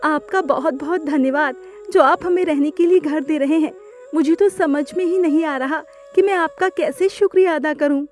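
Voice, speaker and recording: Woman acting a cartoon mother bird, very high voice, anxious, plaintive, tearful, music behind. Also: normal speed, darkish tone, close to an everyday voice. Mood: grateful. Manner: plaintive, lamenting. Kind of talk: children's cartoon dialogue.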